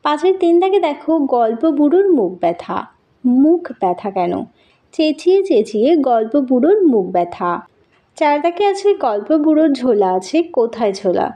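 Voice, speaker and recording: A woman's voice: fairly high pitch, sing-song, normal speed, mellow. A woman teacher giving a school lesson, reading out questions and answers and addressing pupils directly in an instructional way.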